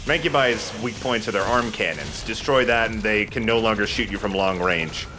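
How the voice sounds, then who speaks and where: A man's voice: lowish voice, normal speed, noisy background. An enthusiastic man giving casual let's play commentary as he plays a video game. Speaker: let's play host and player.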